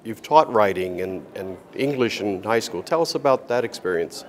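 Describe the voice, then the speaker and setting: A man's voice: on the low side, lively intonation, moderate pace. An older man interviewing a guest in a casual, folksy and complimentary way.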